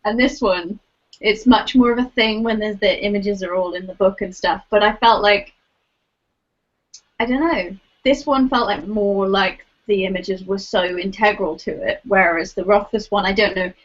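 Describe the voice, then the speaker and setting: A woman talking casually about books as a panelist on an online call. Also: medium pitch. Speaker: panelist and awards judge. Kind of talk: casual book chat. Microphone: video call. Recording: muffled, lo-fi online call audio.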